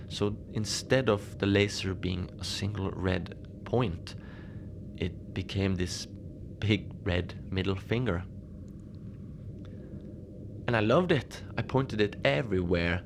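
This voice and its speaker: Gravelly, low-voiced lone night-shift station manager, played by a man. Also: noisy background. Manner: halting, rambling, understated, apologetic. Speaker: podcast fiction narrator, lone facility manager on radio. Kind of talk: cozy audio drama monologue, casual and confiding.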